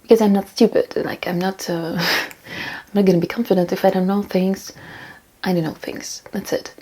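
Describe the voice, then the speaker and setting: Husky, mellow woman, rambling casual talk. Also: mid-range voice, steady tone, normal speed. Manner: rambling, repeats phrases for emphasis. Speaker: self-improvement vlogger. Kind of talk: casual talk, giving tips and reading out comments.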